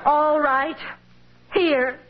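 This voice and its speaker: An American actress playing a long-suffering, scolding yet loving working-class wife in an old radio sitcom, expressive and admonishing. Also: female voice, sits high, extremely slow, background noise. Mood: exasperated. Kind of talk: 1940s-50s American radio sitcom acting. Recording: muffled old radio broadcast.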